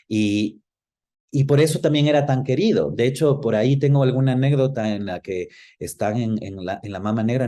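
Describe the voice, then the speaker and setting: A man with a dark, mellow voice at a middling pitch. He talks reflectively in an interview, rephrasing and feeling his way toward the right word. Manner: thoughtful, searches for words and rephrases. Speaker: interview guest, actor.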